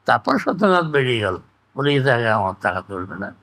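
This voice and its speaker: A man's voice: mid-range voice, sing-song, fairly quick, a bit bright. An older man, reminiscing and giving opinions in an emphatic, conversational interview style with rhetorical questions. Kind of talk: conversational oral-history reminiscence.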